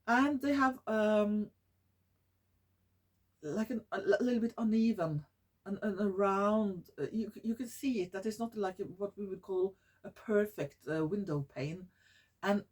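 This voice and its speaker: A woman's voice: medium pitch, a little mellow. Norwegian woman vlogging, hesitant, many fillers. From Norway. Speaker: environmental vlogger. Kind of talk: casual talk to camera. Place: living room at home.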